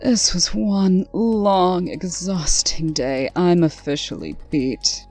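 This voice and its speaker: Woman playing a stern low-voiced female official. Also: slow, darkish tone, faint music behind. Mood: weary, wry. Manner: crisp, authoritative, dryly scolding. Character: stern, brisk woman official laying down the law. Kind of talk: scripted comic-dub acting.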